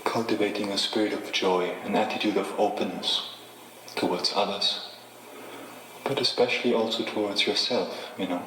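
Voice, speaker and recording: Deep-voiced man guiding meditation, echoey room. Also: fairly even intonation. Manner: unhurried, gently instructing with pauses. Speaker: meditation teacher. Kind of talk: guided meditation. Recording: echoey reverberant room with faint noise.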